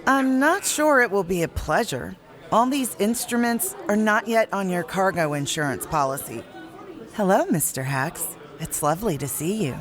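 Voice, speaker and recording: A woman's voice: medium pitch, lively intonation, normal speed, some chatter behind, close to an everyday voice. A woman playing a gracious, curious visitor, polite and friendly, in an audio drama. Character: gracious, curious, warm-mannered female insurance visitor.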